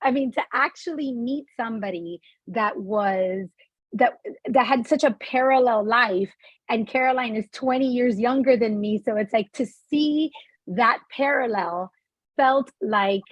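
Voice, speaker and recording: A woman in her forties hosts a conversation, her voice fairly high and a little breathy as she tells an animated story. The sound is dry and close, with dull, lo-fi call audio. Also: measured pace, dry recording. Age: 40s. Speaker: podcast host. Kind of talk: conversational interview.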